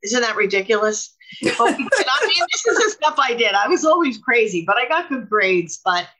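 Woman, co-host, bright forceful voice, somewhat high, chatty and candid, casual storytelling. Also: sing-song, normal speed, poor audio. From New Jersey. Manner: chatty, candid, self-deprecating. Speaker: talk show co-host. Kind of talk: casual chat, anecdotal storytelling.

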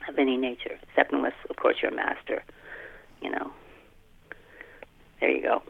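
Middle aged woman on the phone, lots of vocal fry, chatty guest, expressive, repeats herself for emphasis. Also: rumbling low, normal speed, no room sound. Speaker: author, podcast guest. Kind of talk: casual interview chat. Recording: muffled narrow phone line.

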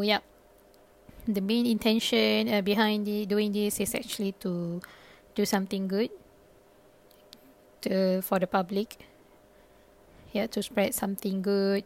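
A woman's voice: mid-range voice, a little mellow. A young Malaysian woman, a native Malay speaker, hosting her own podcast in a casual, thinking-aloud way, full of fillers and restarts. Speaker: podcast host. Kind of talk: casual solo podcast talk.

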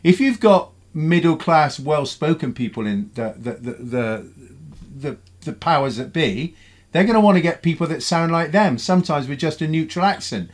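A man hosting a radio panel discussion, brisk and questioning as he steers the talk. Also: a little high, expressive. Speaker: radio presenter.

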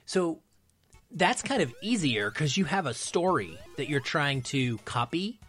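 A man's voice: a little high, very animated, a little slow, a bit bright, faint music behind. A man around his thirties or forties talking casually as a podcast guest, explaining his film opinions in an analytical, emphatic way.

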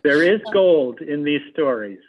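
Man, interview guest, very unhurried, raspy breathy voice, narrow phone-line sound. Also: a little high, animated. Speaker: interview guest, theater adapter. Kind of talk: conversational interview. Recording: thin phone-like call audio.

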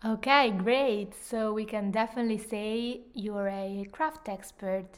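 A woman hosting a podcast who reads a scripted introduction and then asks her guest questions in an earnest, measured way. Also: medium pitch, steady tone, smooth. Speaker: podcast host.

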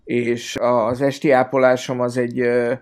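A man in a casual podcast discussion, speaking in a hesitant, halting way and often repeating words. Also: medium pitch, monotone, clean tone. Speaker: football fan podcast guest.

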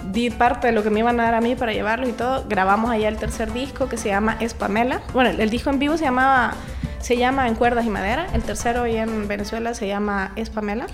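A talkative Salvadoran woman in her forties, a singer-songwriter guest recounting things in a relaxed, laughing way. Her voice sits a little high for a woman, and music plays under the talk. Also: fast. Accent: Salvadoran Spanish. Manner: chatty, laughs often, restarts words. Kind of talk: casual interview chat.

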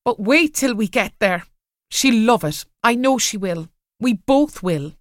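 A woman's voice: a little high, expressive, unhurried, no echo, clean studio sound, voice pushed up. A woman reading a storybook, voicing a firm, encouraging spinster sister who is keen for adventure. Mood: bright, confident.

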